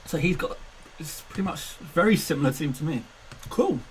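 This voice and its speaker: Man, 20s, casual live-stream chat, animated pitch, slightly high voice. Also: moderate pace, a little hiss or hum, poor audio. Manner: chatty, self-mocking, laughs often. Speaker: fantasy football streamer.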